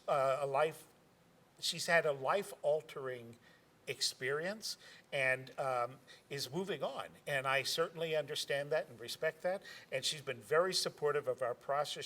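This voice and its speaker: A man's voice: fairly high pitch, fairly bright tone. A man who directs a community theater, answering interview questions hesitantly, with many fillers and chuckles. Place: theater stage.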